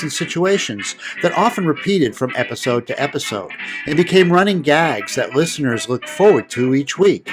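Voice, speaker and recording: A man's voice: mid-range voice, poor audio. A man hosting an old-time radio show, reading a scripted introduction. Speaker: show host. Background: music with guitar.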